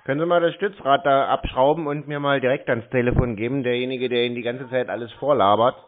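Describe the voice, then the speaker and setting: A man's voice: medium pitch, fairly even intonation, fast talker. A man chatting casually on the phone in a dry, curt, offhand way. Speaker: prankster answering scam calls. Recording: tinny narrowband phone line.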